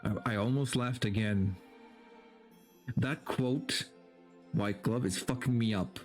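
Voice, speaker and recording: A male streamer gives a dramatic reading in a medium-pitched voice over video game music. His delivery is theatrical for the prose and turns casual and profane for his amused asides. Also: slow pace, a little mellow. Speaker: streamer reading a story aloud. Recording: background music under the voice.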